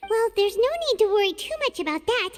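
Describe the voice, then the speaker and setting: An actress voicing a tiny, childlike companion creature, perky, earnest and chatty, in a video game. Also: female voice, extremely high pitch, big pitch swings, brisk, big-room sound, faint music behind. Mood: reassuring.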